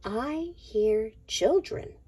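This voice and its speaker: Casting: female picture-book reader, extremely slow and very mellow, sing-song, sometimes near whispering. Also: fairly high pitch, animated, slightly rough. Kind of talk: expressive picture-book read-aloud for young children.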